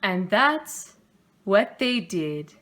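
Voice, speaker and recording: Slow-paced woman reading a children's story. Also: mid-range voice, very animated, fairly bright tone. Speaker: storybook reader. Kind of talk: read-aloud children's story narration.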